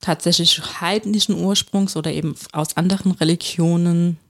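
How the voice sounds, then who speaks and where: A woman's voice: on the low side, steady tone, moderate pace. A woman with a Palatinate German accent, co-hosting a casual podcast about the Bible and finishing her co-host's sentences. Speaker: podcast co-host.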